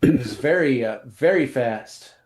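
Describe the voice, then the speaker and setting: A man in a casual chat, telling loose, self-deprecating stories about his day. He talks at an ordinary pitch, with false starts and restarts, and his voice carries a roomy echo. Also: expressive, slow pace, darkish tone. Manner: rambling, self-deprecating storytelling with many restarts. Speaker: co-host.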